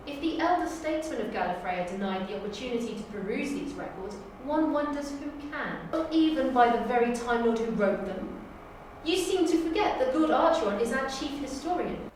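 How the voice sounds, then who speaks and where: A woman's voice: sits high, animated, a little fast, a little mellow, pitched above the performer's own voice. A woman auditioning as an earnest, formal Time Lord's daughter in a dramatic performance. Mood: proud, resolute. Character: proud, anxious daughter of an alien Time Lord. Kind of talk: audition improv and dramatic monologue. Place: university room. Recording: echoey room, distant mic, faint noise.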